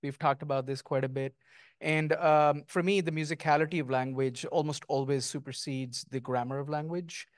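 A middle-aged man, a guest author, speaking thoughtfully and lyrically with many pauses at an onstage conversation, on a handheld mic. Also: fairly high pitch, fairly even intonation, dry recording, clean studio sound. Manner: thoughtful, lyrical, with frequent ums. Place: small stage before an audience, indoors.

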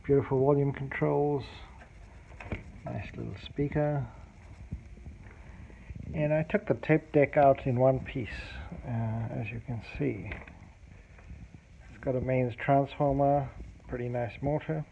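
A man's voice: medium pitch, steady tone, slow, creaky, pauses often, phone-quality sound. A man giving a casual, unscripted demonstration as a hobbyist repairer, recorded on an amateur home video. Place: indoors at a cluttered home table. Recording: noticeable background noise, amateur home video.

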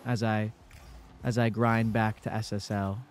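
A man's voice: lowish voice, flat delivery, measured pace, a little hiss or hum. Congested young man calling his own plays. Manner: laid-back, self-coaching play-by-play. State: congested from a cold. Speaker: gaming YouTuber. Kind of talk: casual gameplay commentary.

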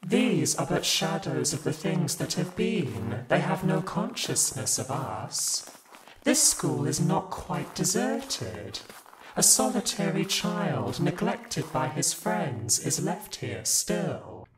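A man playing a calm, ageless spirit in an audio drama, solemn and measured. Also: on the high side, a loud crowd, poor audio. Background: loud music.

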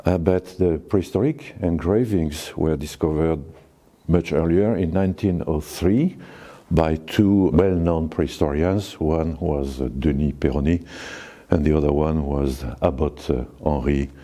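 A man in his sixties with a French accent, a cave owner giving a guided tour to camera, talking slowly and haltingly with many fillers. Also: rumbling low, dark tone. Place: forest outdoors, then inside a small cave.

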